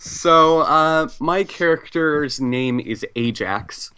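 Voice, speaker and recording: A man talks casually as a player in a tabletop role-playing session. His pace is slow and halting, with stops as he explains. The recording is somewhat muffled and lo-fi. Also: fairly high pitch, pushed and bright, smooth. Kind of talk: casual chat, explaining a game character.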